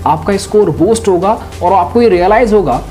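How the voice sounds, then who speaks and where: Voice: mid-range voice, brisk, dark tone, soft background music. An exam-prep presenter explaining things informally to students in a didactic way, checking in with rhetorical questions.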